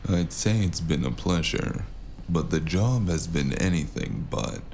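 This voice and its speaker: Man playing a very deep, gravelly, weary mercenary. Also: animated, measured pace, a little mellow, slight background noise. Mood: weary, sardonic. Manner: weary, flippant. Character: worn-out, stubborn, sardonic mercenary, a man. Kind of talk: audio drama acting.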